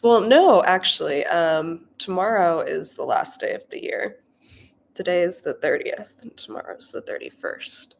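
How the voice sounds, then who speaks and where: A woman's voice: mid-range voice, vocal fry, a little hiss or hum. A young woman with a cold and a nasal voice, chatting casually on a coding livestream with lots of filler ums. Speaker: livestreaming coder.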